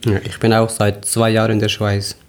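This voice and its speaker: A man's voice: on the low side, monotone, moderate pace. A young man from Afghanistan hosting a podcast interview, talking hesitantly with many fillers and restarts. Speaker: podcast host.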